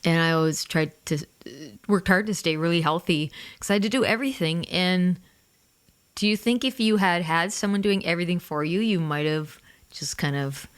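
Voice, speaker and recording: A woman in her forties, chatty and animated as she hosts an easygoing interview. Her voice sits a touch low for a woman, and the recording is close and dry, with no room sound around her. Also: normal speed, clean tone, dry recording. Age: 40s. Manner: chatty, animated, swears casually. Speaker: podcast host and singer. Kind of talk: casual interview chat.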